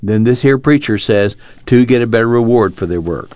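A man's voice: mid-range voice, fairly quick, a little mellow, dull audio, lightly acted. A man playing an earnest young fugitive farm son in a dramatized novel reading, reflective and plainspoken, with a drawl.

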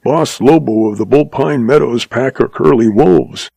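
A quiet, steady cowboy hero, as a male narrator voices him, speaking low and even. The voice is gravelly and husky with a dark tone. Recorded close and dry. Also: a little slow, dry recording, exaggerated voice.

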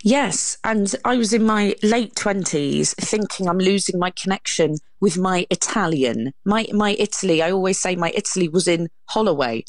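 A woman's voice: mid-range voice, normal speed, hardly any pauses, no room sound, studio quality. A woman, a guest author explaining her subject articulately in an interview.

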